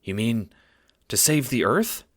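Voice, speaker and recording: A man's voice: medium pitch, very animated, slow pace, voice pushed up. A man playing a confused, frustrated boy, questioning and incredulous, in an audio drama. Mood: bewildered. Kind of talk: audio drama character acting.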